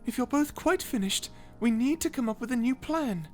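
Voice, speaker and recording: A woman voicing a cute, feisty little mouse in a character audio roleplay, earnest, proper and excitable. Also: on the high side, moderate pace, faint music behind, voice pushed up, lightly acted. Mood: scolding, earnest. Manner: excitable, indignant, proper, stammering.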